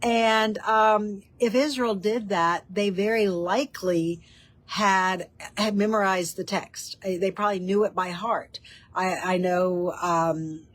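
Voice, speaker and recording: A woman's voice: mid-range voice, fairly even intonation, slow pace. A woman hosting a casual teaching show on ancient scripture, her voice stuffy and nasal from a cold. She talks earnestly, with frequent ums and restarts. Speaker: host of a Torah study show. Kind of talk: informal teaching talk.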